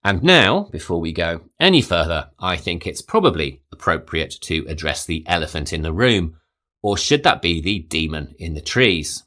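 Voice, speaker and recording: A man hosting a podcast, reading a scripted film history in a measured, storytelling way. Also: low voice, very animated, sharp tone. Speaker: podcast host. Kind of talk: scripted narration.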